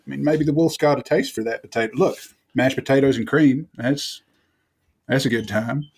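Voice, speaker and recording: A man's voice: mid-range voice, moderate pace, a little mellow, husky. A man playing an easygoing, opinionated water genasi monk in a tabletop game, musing at length in a folksy way. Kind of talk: improvised tabletop role-play.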